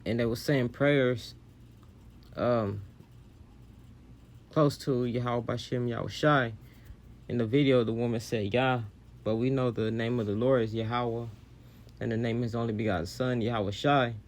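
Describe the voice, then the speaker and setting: Brief: male online preacher, casual, repetitive commentary, mid pitch. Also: fairly even intonation, moderate pace, pauses often. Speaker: religious commentator. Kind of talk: sermon-like commentary on video clips.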